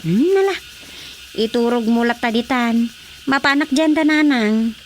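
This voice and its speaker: High-voiced gossipy Ilocano village woman. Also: lively intonation, unhurried, a little hiss or hum. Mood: casual, caring. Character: lively gossipy village woman in a radio comedy. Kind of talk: Ilocano radio drama comedy acting.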